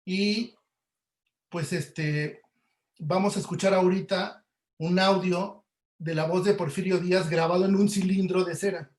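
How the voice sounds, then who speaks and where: A man giving an informal webinar lecture, halting and often checking that listeners follow. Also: sits high, fairly even intonation, a little mellow. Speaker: audio producer giving a talk. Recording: roomy, echoey webinar audio.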